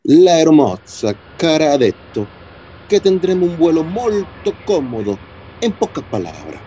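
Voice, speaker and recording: Un actor de radionovela que interpreta a un inmigrante italiano cariñoso y tranquilizador, con acento italiano y palabras en italiano mezcladas. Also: male voice, fairly high pitch, very animated, unhurried, dark tone, a little hiss or hum. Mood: tender. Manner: mixes Italian words into Spanish. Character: doting Italian immigrant man, reassuring lover. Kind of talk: radio soap opera acting.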